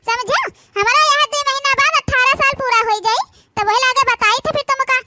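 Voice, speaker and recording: Woman as a squeaky, aggrieved Awadhi teenage girl. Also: fairly even intonation, fairly quick, pushed and bright, dry recording, studio quality, heavily acted. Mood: accusing, angry. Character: aggrieved, distrustful orphaned village girl of seventeen. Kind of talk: village cartoon comedy-drama acting.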